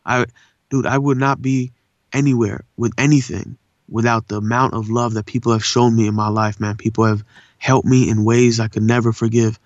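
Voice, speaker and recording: A man's voice: medium pitch, little pitch movement, moderate pace, no room sound, dull audio. A man born in India and raised in Southern California, with an urban SoCal accent, chatting earnestly as a voice actor guest and often saying "man". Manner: laid-back, earnest, says "man" a lot. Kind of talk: casual interview chat.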